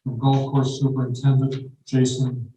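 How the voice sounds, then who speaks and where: A man chairing a city council meeting, calling votes and reading agenda items in a brisk, procedural way. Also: mid-range voice, completely flat delivery. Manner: brisk procedural, quickens when explaining. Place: council auditorium. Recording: echoey hall from far off, faint noise.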